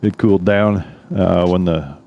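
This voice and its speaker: A man's voice: deep voice, unhurried, gravelly, dull audio, a little hiss or hum. A man co-hosting a podcast in casual chat, easygoing and chuckling, often trailing off mid-thought. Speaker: podcast co-host.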